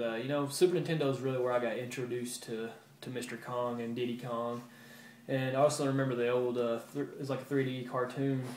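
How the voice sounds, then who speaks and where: A man giving a casual, halting and rambling show-and-tell update to his viewers, like a hobby video game vlogger. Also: medium pitch, a little mellow. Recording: roomy echo with background hiss.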